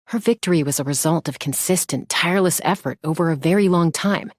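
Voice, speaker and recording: A woman voicing a confident young female gunslinger and peacemaker in a video game, with a cool, measured, self-assured delivery. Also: fairly low pitch, no room sound, clean studio sound, natural voice. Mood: reflective, candid. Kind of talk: scripted game character voice lines.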